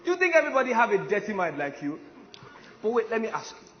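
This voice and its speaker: A man's voice: high voice, sing-song, fast. A man playing a boastful, self-important warrior commander in a comic stage play, declaiming pompously. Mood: smug. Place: stage in a large hall with audience. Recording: echoey hall, muffled, noisy audience.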